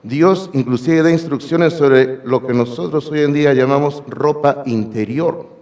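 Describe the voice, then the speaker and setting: A hoarse man preaching a sermon as pastor, emphatic and speaking directly to his congregation in a church sanctuary. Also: mid-range voice, unhurried, darkish tone. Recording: long reverb of a church hall.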